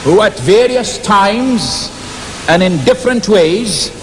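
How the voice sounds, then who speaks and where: A man whose first language is Arabic reads scripture aloud at a public debate, slowly and deliberately. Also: high voice, animated. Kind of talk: public religious speech, reading scripture. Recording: hissy archival hall recording.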